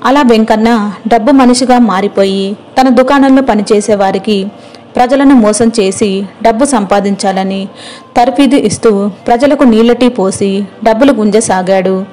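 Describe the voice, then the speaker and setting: A woman's voice: a little high, moderate pace, dark tone, faint music behind. A woman narrating a scripted moral story as its storyteller.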